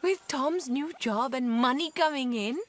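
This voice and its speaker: An actress playing a proud, girlish young Indian wife in a radio drama, with a theatrical, coquettish and excitable manner. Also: sits high, unhurried. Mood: dreamy, excited.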